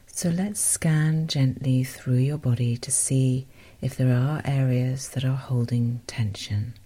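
A woman softly guiding a meditation as host, in a gentle, unhurried, breathy voice that often nears a whisper. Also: a bass voice, steady tone. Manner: gentle, unhurried, softly spoken with short phrases. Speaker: meditation guide and podcast host. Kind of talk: guided meditation, soothing instruction.

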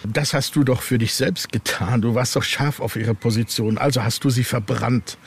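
A man's voice: medium pitch, fairly even intonation, moderate pace, slightly rough. A man reading the part of a menacing, haughty hooded monk from a horror novel. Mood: accusing. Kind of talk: read-aloud horror novel dialogue.

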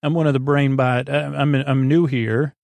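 Male performer as soothing, hesitant robot companion in a bedtime story, agreeable, meandering, creaky. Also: mid-range voice, fairly even intonation, moderate pace, darkish tone, dry recording, close to an everyday voice. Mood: friendly rambling.

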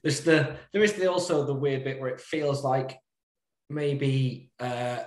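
A man co-hosting a podcast, measured and easygoing in casual chat. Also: medium pitch, echoey room. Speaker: podcast co-host.

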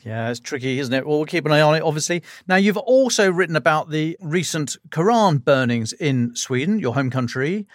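A man's voice: on the high side, a little fast, few pauses, dry recording, clean studio sound. A man interviewing as a podcast host in a conversational news style.

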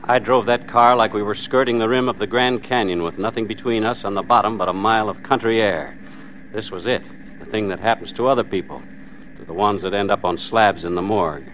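A man playing a steady, folksy husband in an old radio suspense drama, understated and plainspoken. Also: on the low side, a little hiss or hum, natural voice. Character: steady, folksy husband and father narrating his ordeal. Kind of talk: 1940s radio suspense narration and acting. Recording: muffled old radio recording.

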